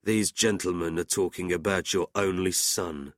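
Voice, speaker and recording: A man voicing a quiet stranger who reveals a dark past in a storybook reading. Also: sits low, steady tone, moderate pace, no room sound, clean studio sound, natural voice. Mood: grave.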